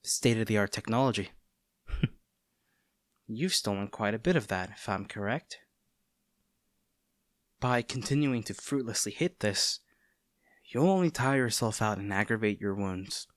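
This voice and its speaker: A man playing a smug, taunting agent facing a former childhood friend, speaking in a measured, theatrical way in a scripted audio roleplay. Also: fairly low pitch, expressive, dry recording, studio quality. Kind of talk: dramatic scripted roleplay monologue.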